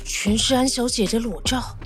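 A woman playing a calm, observant young investigator in an audio drama, speaking in a composed, understated way. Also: a little high, moderate pace, soft background music, close to an everyday voice.

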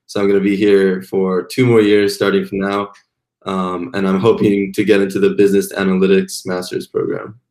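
Young man from taiwan, podcast guest, low voice, casual storytelling. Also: moderate pace, roomy sound. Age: young adult. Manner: relaxed storytelling with fillers. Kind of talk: casual interview answers.

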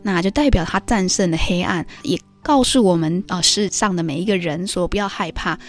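A woman's voice: fairly low pitch, fairly quick, soft background music. A woman hosting a devotional radio talk with a co-host, explaining things in an earnest way.

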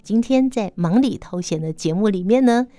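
Female radio interviewer, Taiwanese Mandarin accent, mellow tone, dry studio sound. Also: mid-range voice, expressive, moderate pace, no echo.

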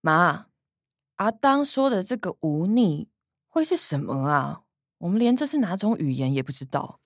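A woman playing a cynical, calculating young granddaughter in a radio drama, sardonic and expressive, with a Taiwanese accent. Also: on the low side, normal speed, dry recording, studio quality. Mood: inquisitive. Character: young adult granddaughter, cynical, calculating, sharp-tongued schemer.

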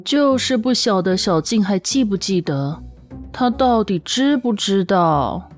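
一位女性有声小说旁白，照着剧本富有表情地讲述故事。. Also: female voice, medium pitch, animated, a little slow. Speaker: audiobook narrator. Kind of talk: expressive scripted story narration. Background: background music.

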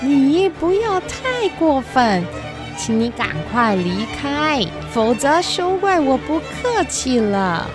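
A woman's voice: sits high, very animated, takes it slowly, music in the background, pitched above the performer's own voice, exaggerated voice. A woman voicing a protective, caring tulip fairy with an earnest, firm manner in a storybook audio drama. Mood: stern.

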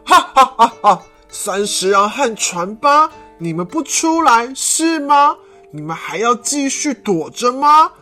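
A woman's voice: on the high side, very animated, slow, bright, forceful tone, soft background music, heavily acted. A woman voicing a mocking male insect henchman who bullies villagers in a children's story. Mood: taunting. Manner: taunting, cackling.

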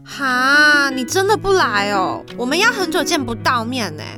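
Music plays behind a young Taiwanese woman's high, swooping voice. A woman acts out an excitable university student who chats and teases in casual Taiwanese Mandarin, in an audio drama. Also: normal speed. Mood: pleading, teasing. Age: young adult.

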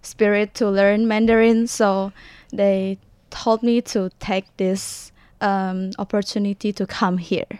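A woman's voice: medium pitch, little pitch movement, darkish tone, smooth, no echo. Hesitant young woman. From Indonesia. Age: young adult. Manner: hesitant, halting with many ums. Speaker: university student guest. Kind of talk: interview answers.

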